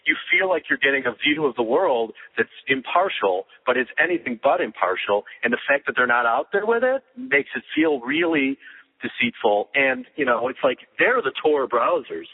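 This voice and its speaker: A man co-hosting a casual podcast over the phone, giving firm opinions in a measured way with frequent restarts. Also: medium pitch, very animated, husky. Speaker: podcast co-host. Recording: tinny phone line.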